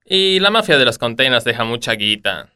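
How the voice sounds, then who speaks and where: An Argentine actor playing a sly, easygoing crook in a comedic radio play. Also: male voice, medium pitch, very animated, brisk, pushed and bright, clear, resonant tone. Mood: casual. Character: easygoing, sly male crook hosting guests.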